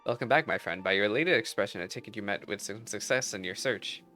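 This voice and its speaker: A man's voice: lowish voice, pushed and bright, faint music behind. A man reading aloud the formal, poetic game lines of a courteous, philosophical wandering minstrel. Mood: reflective, welcoming. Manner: formal, measured, lyrical wording.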